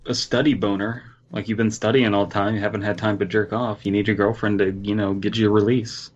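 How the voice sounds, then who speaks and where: Low-voiced man, slightly muffled podcast sound. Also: level tone, fast. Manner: crude joking, profane. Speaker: podcast co-host. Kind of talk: casual chat with read-aloud stories.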